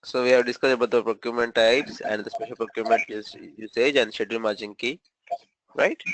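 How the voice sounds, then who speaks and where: A man's voice: mid-range voice, fairly even intonation, quick pace, a little hiss or hum, low-quality recording. A man with a cold teaching an online training session, explaining step by step and often checking in with "right?". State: has a cold, voice low. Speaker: SAP trainer. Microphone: video call.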